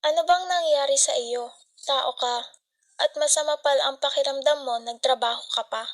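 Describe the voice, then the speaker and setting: A high-pitched, devoted young Filipino wife and mother, acted by a teenage girl, speaking as in a student radio drama. Music plays behind her simple, heartfelt lines. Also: moderate pace, natural voice. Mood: worried. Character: devoted, poor young wife and mother, later grieving widow.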